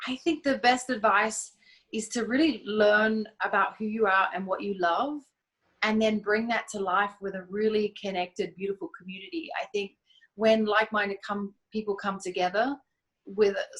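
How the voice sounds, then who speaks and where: A woman answering interview questions as a business founder, measured and reflective, sometimes restarting a phrase. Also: medium pitch, a bit bright, rough recording. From Australia. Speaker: guest, event company founder.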